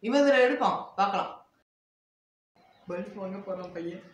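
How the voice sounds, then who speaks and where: A man's voice: high-pitched, animated, normal speed. A man hosting a vlog, chatting casually to viewers. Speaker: vlog host. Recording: roomy, distant, dull, clearly noisy home recording.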